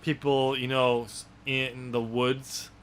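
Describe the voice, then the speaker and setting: A man's voice: medium pitch, little pitch movement, slow, fairly bright tone, slight background noise. A man co-hosting a podcast as an author, discussing a book casually in a rambling way. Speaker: podcast co-host and novel author.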